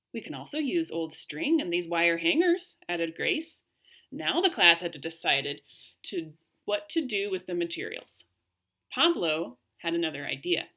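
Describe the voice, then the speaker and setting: Female teacher for children's read-aloud; slightly low-set voice, highly animated intonation, clear phrasing. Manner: expressive, clearly phrased for young listeners. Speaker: teacher reading aloud to pupils. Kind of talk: read-aloud storytelling and lesson talk for children.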